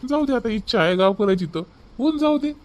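A woman puts on a mild cartoon village man, high for a male and quick in speech. He sounds resigned. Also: animated, lightly acted.

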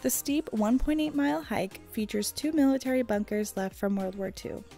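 A woman's voice: a little high, expressive, normal speed, gravelly, mellow, soft background music. A woman reading a scripted travel guide narration for a vlog.

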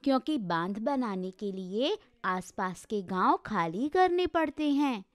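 A woman's voice: mid-range voice, expressive, a little slow, dry recording. A woman giving formal, textbook-like scripted explanations. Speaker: narrator.

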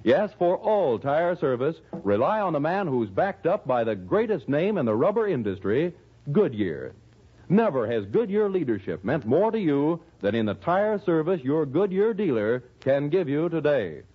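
Tinny vintage American radio adman, animated delivery. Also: a little high, moderate pace, slight background noise. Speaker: radio announcer. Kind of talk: 1940s radio commercial read, scripted. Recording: tinny, narrow-band 1940s radio recording.

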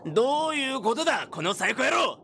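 An actor playing a hot-tempered, panicky, rough-mouthed young villager in an audio drama, shouting explosively. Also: sits high, big pitch swings, brisk, slight background noise, full character voice. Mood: furious. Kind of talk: Japanese audio drama acting.

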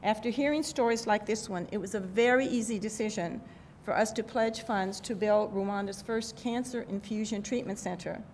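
A woman's voice: moderate pace, darkish tone. An older woman giving a prepared keynote speech, reading from notes at a podium microphone. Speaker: keynote speaker. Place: event hall at a podium. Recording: rumbly background noise, podium microphone.

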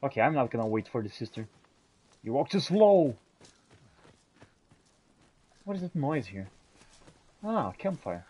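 A man's voice: medium pitch, sing-song, unhurried, long pauses, dark tone, breathy edge. A man playing a video game in character, talking to companions in old-fashioned phrasing. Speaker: gamer role-playing his character. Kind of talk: in-character game roleplay commentary.

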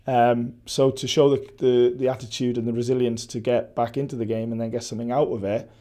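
Man in his forties, Welsh accent, football coach, post-match interview, mellow flat voice, halting restarts. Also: mid-range voice. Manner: measured, thoughtful, with frequent restarts and fillers. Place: indoor press backdrop at a stadium.

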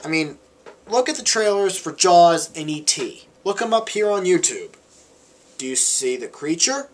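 Young male vlogger, voice a bit on the high side, conversational and emphatic, recorded indoors on a webcam. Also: sing-song, measured pace. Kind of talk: casual opinion monologue read to camera. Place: small bedroom, indoors. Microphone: camera or webcam at arm's length.

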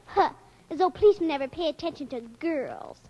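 A woman's voice: high voice, lively intonation, fairly quick, warm tone, dull audio. A female performer playing a cheeky, boastful seven-year-old girl with a small childish voice in 1940s radio drama style. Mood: indignant, boastful. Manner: proud, matter-of-fact tattling. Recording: old radio broadcast recording.

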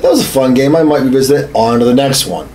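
A man's voice: medium pitch, fast, a little mellow. A man in his thirties chatting casually as a podcast co-host, methodically laying out his points with some swearing. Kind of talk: casual explanatory chat. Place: small home studio room. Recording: slight background room noise.

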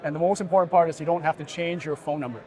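A middle-aged man giving an assured, agreeable and well-structured conversational pitch as an interview guest. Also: steady tone, very fast, darkish tone. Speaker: startup founder being interviewed. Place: busy indoor expo hall booth.

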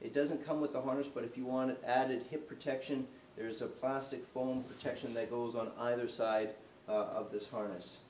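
A man in his forties gives a relaxed, off-the-cuff walkthrough of gear, with plenty of fillers and restarts as he moves about. He sounds some distance from the camera, and the showroom has a noticeably roomy echo with a faint background hiss. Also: mid-range voice, normal speed. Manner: moving around while demonstrating. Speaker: product demonstrator. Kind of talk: casual product walkthrough. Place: indoor showroom, medium-sized room. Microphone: camera mic from a distance.

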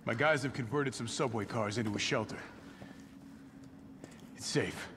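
An actor playing a cocky, easygoing young mercenary with a breezy, swaggering, casual manner in a video game scene. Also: male voice, medium pitch, moderate pace, background noise, close to an everyday voice. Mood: reassuring. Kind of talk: video game cutscene acting. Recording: loud music behind.

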